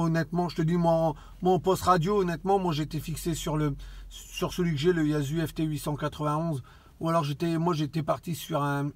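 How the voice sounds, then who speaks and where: A man chatting casually as an amateur radio operator, halting and often repeating his phrases. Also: on the high side, dry recording. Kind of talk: casual radio contact chat.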